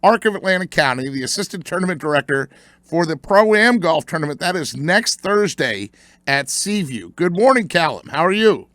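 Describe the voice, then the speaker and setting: Older American radio host, rambling storyteller, high voice, smooth dry studio sound. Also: male voice, big pitch swings, moderate pace, fairly bright tone, dry recording. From United States. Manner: chatty, storytelling, many fillers. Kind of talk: casual radio interview.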